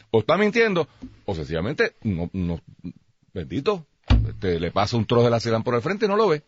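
A man's voice: on the high side, dry recording. A man with a Puerto Rican accent giving blunt, emphatic political opinions as a radio host, with dull radio sound.

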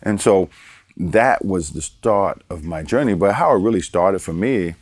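A man in his sixties from Baltimore, a guest telling stories from his life in a relaxed way, chuckling and repeating words for emphasis. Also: low voice, a little slow, no room sound. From Baltimore, Maryland. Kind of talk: casual storytelling interview.

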